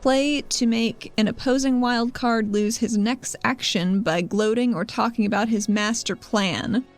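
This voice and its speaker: A woman's voice: on the high side, unhurried, soft background music. A woman playing a tabletop roleplaying game, casually improvising and describing what her character does.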